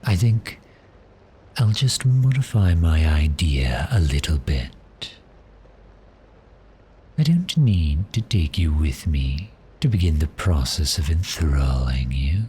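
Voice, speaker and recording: A man performs a predatory vampire with an exceptionally low, breathy voice that often sinks into a half-whisper. He speaks extremely slowly, lingering on pauses, toying with his prey with gentle menace. It is an intimate ASMR roleplay, with a little noise behind the voice. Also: sing-song, exaggerated voice. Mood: teasing, amused.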